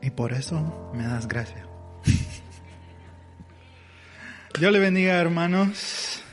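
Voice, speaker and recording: Slow halting preacher in a big reverberant hall. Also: male voice, on the high side, animated, music in the background. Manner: halting, searching for words in a second language. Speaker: pastor. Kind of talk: sermon.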